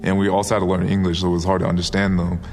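A man's voice: low-pitched, little pitch movement, rapid-fire, a little mellow, in a large hall, soft background music. A young man from Liberia who moved to the US as a child, a college athlete talking casually in a documentary interview. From born in Liberia, moved to the US at five. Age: young adult. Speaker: college football player.

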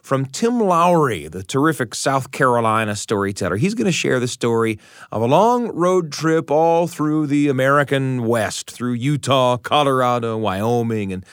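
A male radio host delivers a conversational scripted introduction. His mid-pitched voice is lively and varied, with emphatic storyteller phrasing, recorded dry in a studio. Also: normal speed, smooth, no room sound.

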